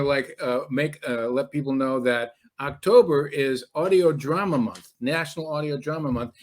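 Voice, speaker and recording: A man hosting an interview in a casual, conversational style. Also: mid-range voice. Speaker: host.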